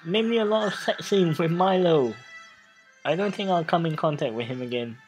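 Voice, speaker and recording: Halting let's play host over loud music. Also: medium pitch. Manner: halting, stumbles over read text and restarts. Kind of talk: casual game playthrough with dramatic reading. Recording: music playing behind voice. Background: background music.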